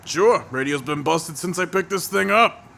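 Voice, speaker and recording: A chatty, eager young cab driver. His voice is gravelly yet bright and swings lively in pitch. Faint noise sits in the background. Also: normal speed, heavily acted. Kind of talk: audio drama acting.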